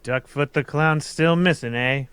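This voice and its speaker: A man's voice: on the high side, a little slow, fairly bright tone, smooth, dry recording. A man playing a genial, quaint detective sidekick in a radio play, with stagy old-chap phrasing. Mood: genial, curious. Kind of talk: radio play table read.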